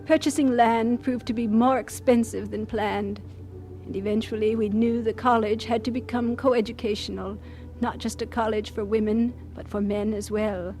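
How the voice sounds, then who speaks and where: An actress playing a devoted missionary doctor, reflective and sincere, narrating and acting in an audio drama. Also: female voice, a little high, fairly even intonation, moderate pace, mellow, natural voice. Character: composed, devoted female missionary doctor, reflective and warm-hearted.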